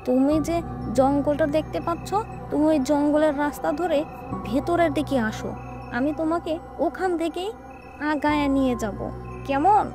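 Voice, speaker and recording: A woman's voice: high voice, moderate pace, music in the background, pitched above the performer's own voice, natural voice. A woman voicing a dutiful, earnest younger brother in a cartoon horror story. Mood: coaxing.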